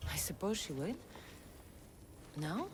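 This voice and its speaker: A woman's voice: lowish voice, big pitch swings, takes it slowly, a little hiss or hum. A woman playing a bold, wry female mercenary of ancient Greece in a game cutscene, direct and informal. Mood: questioning. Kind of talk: video game cutscene acting.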